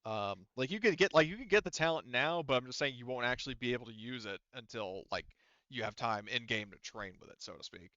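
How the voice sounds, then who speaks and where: A man's voice: medium pitch, lively intonation, a little fast, fairly bright tone, no room sound, highs cut off. A man working as a game master, casually explaining tabletop game rules in a conversational way peppered with swearing. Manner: profane, conversational.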